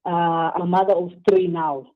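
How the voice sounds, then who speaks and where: A woman's voice: fairly low pitch, flat delivery, unhurried. A woman in her thirties from Zimbabwe, telling her own story as a phone-in guest, hesitant and often restarting. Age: 30s. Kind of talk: phone-in interview, personal story. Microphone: telephone. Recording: tinny phone line.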